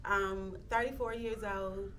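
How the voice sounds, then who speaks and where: A woman's voice: medium pitch, steady tone, slow pace, a bit bright. Woman in her 30s, thoughtful, lots of ums, sounds a bit far from the mic w/ light room noise. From Athens, Georgia, US. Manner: reflective, with frequent "um" fillers and restarts. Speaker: interviewee, local county commissioner. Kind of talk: casual interview talk.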